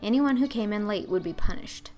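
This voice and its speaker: A woman's voice: mid-range voice, a little fast, a little mellow, faint music behind. A woman reading a children's story as scripted narration, in a theatrical, emphatic storytelling manner. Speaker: audiobook narrator.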